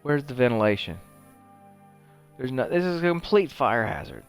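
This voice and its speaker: A man's voice: mid-range voice, sing-song, normal speed, smooth, faint music behind. A man giving casual gameplay commentary, rambling and conversational as he thinks aloud. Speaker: host of a video game playthrough show.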